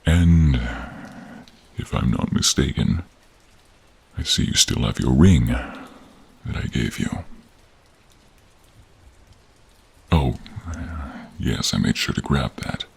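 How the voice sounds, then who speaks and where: A man playing a gentle, protective male dragon in human form, speaking tenderly and haltingly in a deep, gravelly, husky voice for an audio role-play. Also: expressive, full character voice. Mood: caring. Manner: tender, halting, hushed, drawn-out phrasing. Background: rain and cave ambience.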